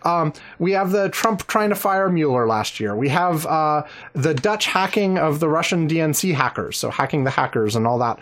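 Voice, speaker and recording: Podcast host man with a lively voice. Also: fairly high pitch, normal speed, hardly any pauses. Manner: dry joking, frequent "yeah" and "anyway". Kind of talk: casual podcast chat.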